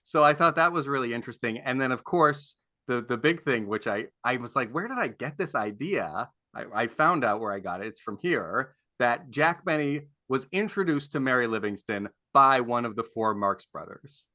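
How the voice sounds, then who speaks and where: Guy on a panel chatting, bright forward voice, very dry sound. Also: medium pitch, expressive, normal speed, few pauses, dry recording. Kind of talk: casual commentary. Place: remote call for a virtual convention.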